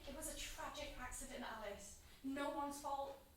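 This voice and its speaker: Actress playing guilt-ridden estranged friend, woman, high pitch, halting, earnest, naturalistic drama, very echoey distant recording. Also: lightly acted. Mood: gentle pleading. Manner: earnest, halting, emotionally raw. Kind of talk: naturalistic two-hander drama dialogue. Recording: distant, very echoey room with faint noise.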